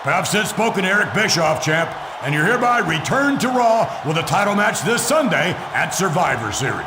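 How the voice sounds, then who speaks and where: High-pitched, bombastic wrestling boss. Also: male voice, very animated, brisk, crowd noise. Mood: commanding. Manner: forceful, declamatory, showman-like. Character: bombastic older male wrestling company boss. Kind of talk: video game wrestling cutscene promo. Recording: echoey arena with clear background noise.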